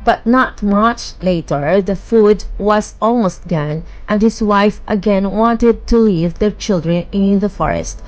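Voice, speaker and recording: A woman narrating a children's bedtime fairy tale in a measured, expressive storytelling manner. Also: medium pitch, faint music behind, low-quality recording.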